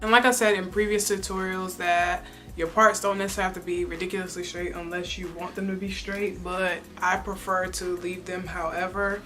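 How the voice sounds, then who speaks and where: A woman's voice: on the low side, level tone, a little fast, pushed and bright, faint music behind. A young woman chatting casually through a hair tutorial on her own channel, rambling with lots of ums and filler words. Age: young adult. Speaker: beauty vlogger.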